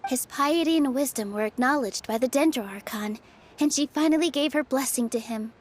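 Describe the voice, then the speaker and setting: Woman playing a high-voiced gentle young dancer. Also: fairly quick, slight background noise, natural voice. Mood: gentle storytelling. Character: gentle, sincere young female festival dancer. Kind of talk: anime-style game voice acting. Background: background music.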